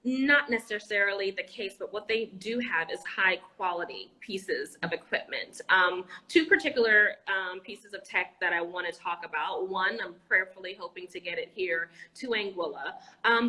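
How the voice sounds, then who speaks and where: A woman's voice: medium pitch, sharp tone, low-quality recording. A woman, an educator and entrepreneur, speaking as an interview guest over a video call, enthusiastic, with frequent fillers and restarts. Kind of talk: casual interview talk. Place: Anguilla.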